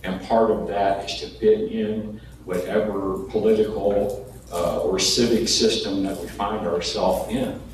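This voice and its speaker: A man's voice: lowish voice, fairly even intonation, measured pace. A man preaching a sermon, earnest and folksy, sometimes restarting his words. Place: church sanctuary. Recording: echoey hall, distant mic, background noise.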